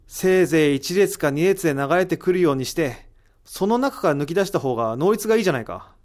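A man's voice: fairly high pitch, animated, quick pace, darkish tone, close to an everyday voice. A man acting in an audio drama as an analytical figure who reasons out a mystery in explanatory speech. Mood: explanatory, assured. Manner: explanatory, rhetorical questioning. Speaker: voice actor in an amateur audio drama. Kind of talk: dramatic dialogue, explaining deductions.

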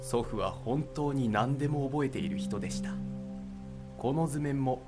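A man playing a calm, courteous, enigmatic figure in an audio drama, speaking in measured, fatalistic phrases. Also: mid-range voice, over music, close to an everyday voice. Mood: solemn, mysterious. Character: calm, enigmatic, courteous man who knows ancient secrets.

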